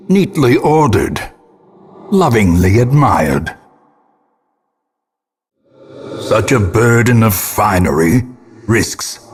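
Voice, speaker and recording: An actor playing a grim old male ancestor who narrates a gothic video game in a portentous, measured, theatrical manner, with a gravelly, husky voice. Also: on the low side. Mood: wry, menacing. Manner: portentous, measured, ornate diction.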